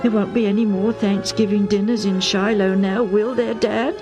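A woman's voice: medium pitch, steady tone, moderate pace, loud music behind the voice, pitched above the performer's own voice, close to an everyday voice. A woman playing an anxious young boy. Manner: anxious, pleading questions.

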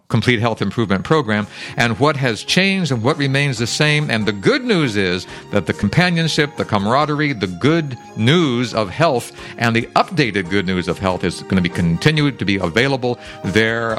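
Male show host, lively pitch, affirming, faint music underneath. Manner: warm affirming interjections, smooth segment links. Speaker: radio-style show host. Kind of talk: hosting an interview.

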